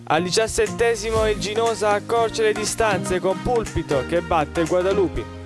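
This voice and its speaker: A man reading a scripted commentary over football match highlights. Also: moderate pace. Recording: loud hip hop music behind. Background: hip hop music.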